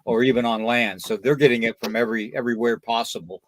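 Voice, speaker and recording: A man's voice: mid-range voice, fairly even intonation, quick pace, slight background noise. A man hosting a casual live chat show, talking in a friendly, rambling way and repeating his phrases. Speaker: podcast host. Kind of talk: casual live chat, greeting and asking listeners.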